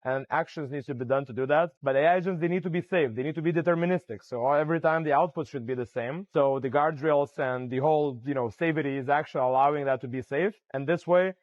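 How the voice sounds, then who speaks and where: A man gives a conference interview, explaining in a fluent way and leaning on "you know" fillers. His voice sits fairly high for a man. The sound is dry and a little muffled. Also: quick pace, few pauses, dry recording. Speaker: AI startup founder, interview guest. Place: conference venue.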